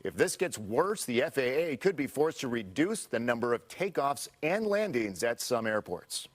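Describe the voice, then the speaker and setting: An American man anchoring a live television newscast. He has a mid-pitched voice and moves between reading reports and putting questions to a guest. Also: sing-song, normal speed.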